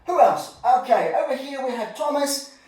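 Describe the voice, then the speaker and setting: A man's voice comes from a little way off in a very echoey room. He tells a Bible story to children as an informal lesson. His delivery is animated, with exclamations and chatty asides aimed at the young listeners. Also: very high voice, moderate pace. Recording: echoey room, distant microphone.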